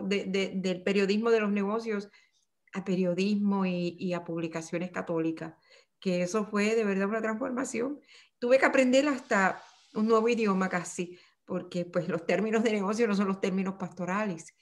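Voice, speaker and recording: An older woman with a Puerto Rican Spanish accent talks about her life in a remote interview. Her delivery is reflective and unhurried, and she often restarts her sentences. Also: mid-range voice. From Puerto Rico.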